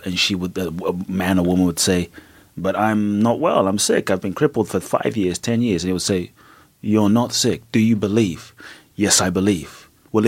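A man's voice: low-pitched, slightly rough, dry recording. A man from Ghana, a podcast guest telling personal stories in an earnest, reflective way. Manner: earnest, reflective, vivid storyteller. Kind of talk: interview storytelling.

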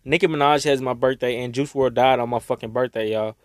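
A young man talking casually and emotionally to his viewers, rambling and swearing, his voice raspy from crying. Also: mid-range voice, fairly even intonation, fairly quick, no echo, clean studio sound. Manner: rambling, swearing, heartfelt, Southern US slang. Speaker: vlogger. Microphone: own microphone.

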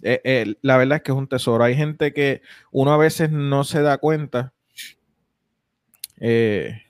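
A man's voice: medium pitch, a little slow, clean tone, no room sound, studio quality. A young man hosting a casual podcast, rambling with lots of fillers and restarts. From United States. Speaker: podcast host.

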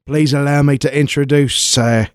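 A man's voice: mid-range voice, steady tone, normal speed, smooth, dry recording, studio quality, lightly acted. A man playing a cheeky, chatty peasant in a comic radio play. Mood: blunt, chatty. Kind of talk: 1940s-style radio play acting.

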